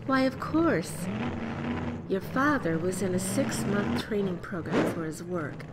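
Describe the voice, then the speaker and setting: A woman as a practical mom over loud car noise. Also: medium pitch, animated, measured pace, lightly acted. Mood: explaining. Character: reassuring practical mother of young children. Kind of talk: family radio play acting.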